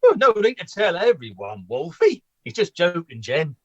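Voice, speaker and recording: A sheepish pub customer, played by a man in a radio comedy. The voice is high and animated, and the recording is close, dry and rather dull. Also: moderate pace, no room sound, exaggerated voice. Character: sheepish male pub customer, declining drinks. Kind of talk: radio comedy play reading.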